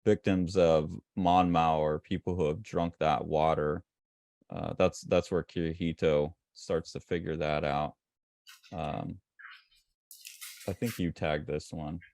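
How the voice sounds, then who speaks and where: A man's voice: rumbling low, steady tone, slow pace, darkish tone, no echo, studio quality. A man co-hosting a casual art discussion, talking loosely and eagerly with many fillers and restarts. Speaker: co-host.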